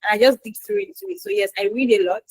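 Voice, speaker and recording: A woman, a Yoruba speaker, answering interview questions in a lively, chatty way with acted-out quotes. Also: a little high, fast talker, breathy edge, dry recording. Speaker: guest expert in quality assurance.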